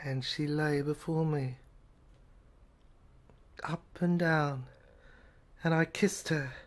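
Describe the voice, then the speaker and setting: Slow, hushed woman narrating a drama. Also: low-pitched, steady tone. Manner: halting, hushed, phrase by phrase. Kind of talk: dramatic, intimate narration of an audio play.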